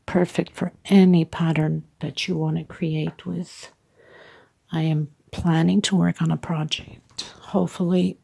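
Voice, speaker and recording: Congested middle-aged woman, gravelly and husky, flat low delivery, chatty craft tutor. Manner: chatty, warm thanks and hugs to viewers. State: congested, stuffed-up from sinus. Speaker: crochet tutorial host. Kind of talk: casual step-by-step craft tutorial. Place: at home, table with yarn.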